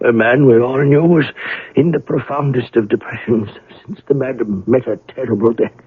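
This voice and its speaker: An actor playing a proper, deferential butler in a 1970s radio mystery play, speaking formally and theatrically. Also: male voice, medium pitch, normal speed, slightly rough. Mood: insistent, reassuring. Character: male household butler, deferential, proper and anxious to reassure. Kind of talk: 1970s radio drama acting. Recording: muffled narrowband old radio broadcast.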